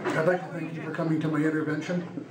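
A young man doing deadpan stand-up comedy in short one-liners into a handheld microphone on a club stage. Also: on the high side, fast. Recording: echoey club room with loud noise. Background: loud noise, audience laughter.

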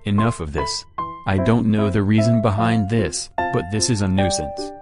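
A man voicing a stern army corporal in his thirties for an amateur comic dub, blunt, commanding and deadpan. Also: fairly low pitch, moderate pace, lightly acted. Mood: annoyed. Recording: loud music behind the voice.

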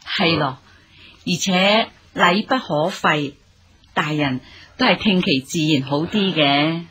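An elderly, respectful mother in a period radio drama. She is low-pitched, very husky and slow. Her Hong Kong Cantonese is formal and humbly deferential. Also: fairly bright tone, heavily acted.

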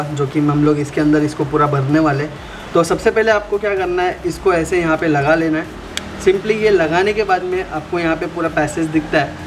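Chatty young man, roomy noisy recording. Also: a little high. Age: young adult. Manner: chatty, explains step by step to viewers. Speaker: motovlogger. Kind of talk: casual how-to tutorial. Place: small room indoors at a desk. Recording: roomy with clear background noise.

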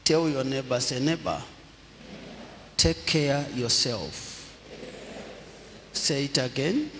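A middle-aged man from Zambia, preaching a sermon slowly and deliberately into a handheld microphone, leading the congregation in prompts. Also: medium pitch, a bit bright, a little hiss or hum. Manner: slow, deliberate preaching with call-and-response prompts. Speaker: pastor. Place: large church hall with congregation. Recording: long hall reverb.

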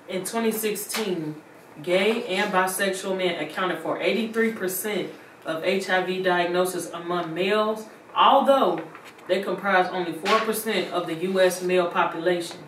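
A woman's voice: lowish voice, normal speed. A woman, an actress, speaking in a rehearsed appeal for a stage play. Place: indoor living room. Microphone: distant camera microphone. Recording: echoey room, distant mic, clear noise.

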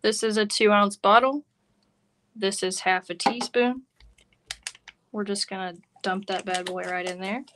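A woman's voice: medium pitch, steady tone, measured pace, pauses often, noisy background. A woman instructor talking casually and informally through a hands-on craft demonstration. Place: work table indoors, live online stream.

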